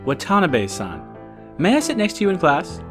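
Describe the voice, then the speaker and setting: Low, lively, friendly teenage boy. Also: fairly quick, music in the background. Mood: cheerful. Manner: casual, gentle, cheerful. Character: friendly, easygoing teenage schoolboy. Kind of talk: acted audiobook dialogue.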